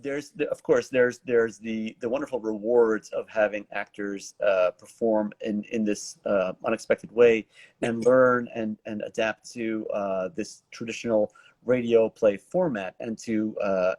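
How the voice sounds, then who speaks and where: A man with a fairly low, soft-edged mellow voice works as an interviewer. He talks casually and haltingly, circling around his questions with many words. The sound is close and dry. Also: steady tone, no echo. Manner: halting, wordy, roundabout questions. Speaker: talk show host, interviewer.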